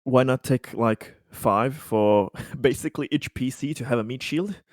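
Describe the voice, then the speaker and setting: Man, medium pitch, tabletop player, in-character banter, hesitant, politely wry, dry recording. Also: measured pace, no echo.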